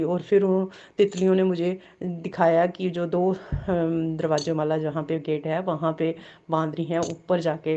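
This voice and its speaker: Lady with a sore throat doing a devotional talk, flat low voice, lots of ums, kinda muffled recording. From Jammu. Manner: halting, many fillers, repeated blessings. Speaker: devotee sharing a satsang. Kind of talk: devotional testimony.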